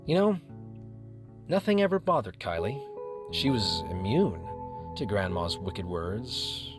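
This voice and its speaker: A man playing a grumpy, wounded bookstore manager, narrating his family memories in a wry, reflective way for an audio drama. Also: sits low. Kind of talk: first-person dramatic narration.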